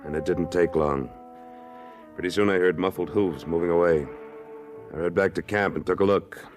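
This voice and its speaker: A man's voice: sits low, normal speed, over music, close to an everyday voice. An American man acting a tough, terse trail boss in an old-time radio western. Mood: narrating, steady. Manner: terse, blunt, authoritative. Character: tough, commanding cowboy trail boss of a cattle drive. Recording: noisy vintage radio recording.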